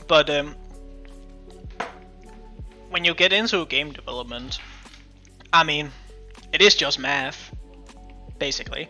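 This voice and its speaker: Danish man, bright halting stream chat. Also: fairly high pitch, soft background music. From Denmark. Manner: halting, many restarts and fillers. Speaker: game developer streamer. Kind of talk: casual live-stream commentary while coding. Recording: muffled lo-fi stream audio.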